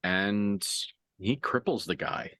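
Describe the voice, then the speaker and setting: A man improvising narration as a game master for a horror tabletop game, conversational and halting, with graphic detail. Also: on the low side, bright, forceful tone, dry recording, studio quality.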